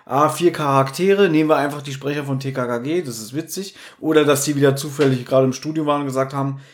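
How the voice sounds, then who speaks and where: A man's voice: medium pitch, fairly even intonation, fast talker. A man co-hosting a podcast, chatting casually and animatedly as he reviews audio dramas. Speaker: podcast co-host.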